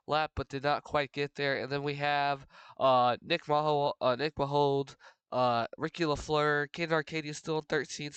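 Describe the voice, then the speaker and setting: Young man, congested from a cold, race preview commentary, somewhat high voice, very smooth and clear, dry close sound. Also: a bit bright, no room sound. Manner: rambling, filler-heavy, frequent restarts. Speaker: race commentator for a sim racing league.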